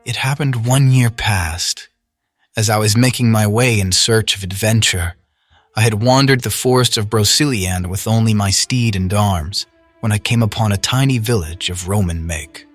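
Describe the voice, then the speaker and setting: A man playing a low-voiced, earnest young knight. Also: normal speed, close to an everyday voice. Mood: solemn, reflective. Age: young adult. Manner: formal, archaic, solemn storytelling. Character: earnest young Arthurian knight recounting his adventure. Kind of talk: audio drama monologue. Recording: voice over orchestral music.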